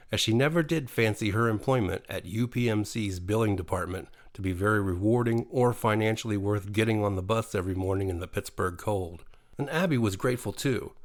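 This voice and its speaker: A man narrating a horror bedtime story in a dramatic storytelling style. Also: on the low side. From USA. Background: music.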